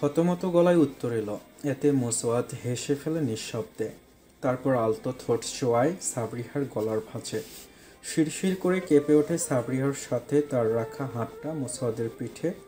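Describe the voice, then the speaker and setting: Male narrator for romantic audio fiction: warm, mellow, mid-pitched storytelling over soft music.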